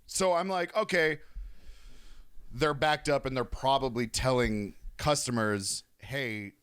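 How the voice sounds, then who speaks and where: A man's voice: mid-range voice, slow pace, a bit bright, smooth, dry recording, clean studio sound. A young man co-hosting a podcast, telling a rambling story in casual chat, laughing and swearing as he goes. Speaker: podcast co-host.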